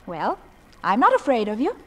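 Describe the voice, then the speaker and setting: A woman's voice: fairly high pitch, huge pitch swings, moderate pace, a bit bright, heavily acted. An actress playing a genteel, spirited young mother with proper, polite manners in a theatrical radio play. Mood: defiant, nervous. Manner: proper, polite, indignant when crossed. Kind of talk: theatrical radio drama acting.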